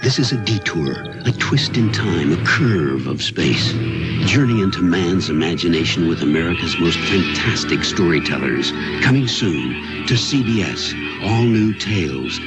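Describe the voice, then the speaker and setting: A man's voice: sits low, animated, unhurried. A man announcing a television promo in a portentous, dramatic 1980s voice-over style. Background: loud music.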